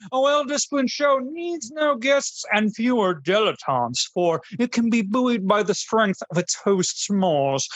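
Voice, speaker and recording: Pompous aristocratic connoisseur played by an actor for comedy radio: florid, haughty, very animated delivery, dry studio sound. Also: mid-range voice, no echo. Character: pompous aristocratic snobbish New Orleans snowball connoisseur host. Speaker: host of a food radio show. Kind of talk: parody radio show monologue.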